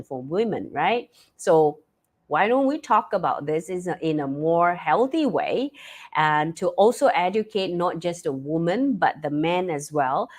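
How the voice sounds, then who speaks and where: A woman hosting a live talk stream, hoarse and stuffy-nosed, chatty with many fillers and restarts. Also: deep voice. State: hoarse, losing her voice; stuffy nose. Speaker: live talk show host.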